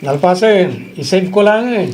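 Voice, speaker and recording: A man's voice: sits high, animated, measured pace, a little mellow, slight background noise. A man with a Filipino accent playing an eager, affectionate young husband and office worker in a radio drama. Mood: casual.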